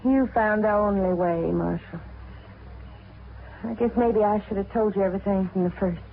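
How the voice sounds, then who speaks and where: A woman's voice: medium pitch, moderate pace, vocal fry, close to an everyday voice. An actress playing a middle-aged frontier mother and widow, plain-spoken with country grammar, in 1950s radio drama style. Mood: resigned. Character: grieving frontier widow, mother, quietly vengeful. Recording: tinny, hissy old radio broadcast.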